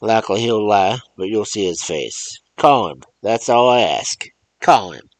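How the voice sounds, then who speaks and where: A man voicing an angry old country man who yells accusations in rough rural grammar. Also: low voice, animated, normal speed, dry recording. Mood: angry accusing.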